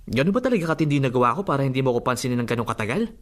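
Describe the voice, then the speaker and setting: A man's voice: mid-range voice, very animated, racing, loud music behind the voice, natural voice. An actor playing a sweet-talking young boyfriend in a radio drama, coaxing and pleading his case affectionately. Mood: defensive, pleading.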